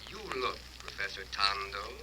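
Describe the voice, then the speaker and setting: A man's voice: on the low side, fairly even intonation, normal speed, lightly acted. An actor playing the solemn, menacing ghost of a murdered man in a 1930s radio horror drama. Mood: vengeful, menacing. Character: vengeful ghost of a murdered man wreathed in blue flame. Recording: noisy old 1930s radio recording.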